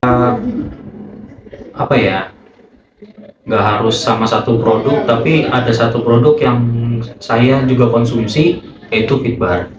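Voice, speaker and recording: A man's voice: medium pitch, unhurried, long pauses, a crowd in the background. A man in his early twenties giving hesitant, casual answers as an interviewee. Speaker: interviewee in a group discussion. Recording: distant, echoey, dull noisy recording.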